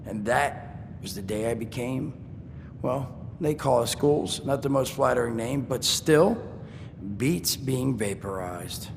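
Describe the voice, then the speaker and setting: An actor playing a wisecracking male ghoul trader in a video game, talking in a joking, streetwise drawl with a gravelly voice. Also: medium pitch, expressive, takes it slowly. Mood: storytelling. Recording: game audio with long reverb and noise.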